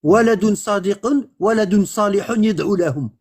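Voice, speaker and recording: A man with a high, husky voice teaches religion in a relaxed way. He explains step by step, says words again and keeps checking that his listener follows. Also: fast talker. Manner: explains patiently, repeats words and checks understanding. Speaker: religious instructor.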